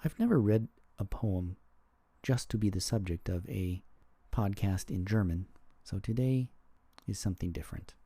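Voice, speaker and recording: A man with a low, deep voice hosts a podcast and talks reflectively. His tone is very dark and mellow. He weighs his words and sometimes stops mid-thought to find the right phrase. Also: lively intonation, moderate pace, husky, dry recording. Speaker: podcast host.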